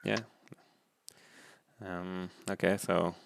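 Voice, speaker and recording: A man hosting a casual interview in a low-key way. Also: flat delivery, extremely slow, vocal fry. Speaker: host.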